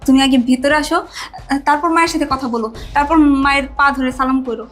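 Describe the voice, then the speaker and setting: A woman playing a nervous young wife who talks in a hurried, evasive way. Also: high voice, fairly bright tone. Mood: coaxing.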